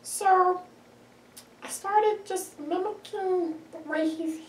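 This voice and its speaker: A woman with a deep voice, talking casually as an interview guest and rambling through lists with fillers. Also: slow pace. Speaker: interview guest, actress and model. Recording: echoey room, mic at a distance.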